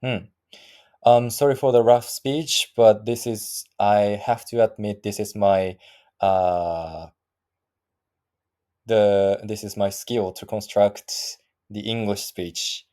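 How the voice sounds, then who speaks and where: Slow, halting man with Japanese accent. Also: on the low side, steady tone, warm tone. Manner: halting, searching for words, frequent restarts. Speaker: vlogger practicing English. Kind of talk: casual solo monologue.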